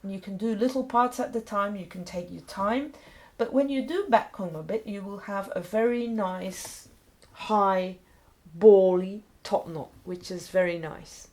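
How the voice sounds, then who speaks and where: A woman's voice: medium pitch, unhurried, darkish tone. A middle-aged woman with a Belgian accent, a non-native English speaker, giving an informal step-by-step grooming tutorial in simple phrases. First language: not English. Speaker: dog groomer presenting a tutorial. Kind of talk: explanatory demonstration narration.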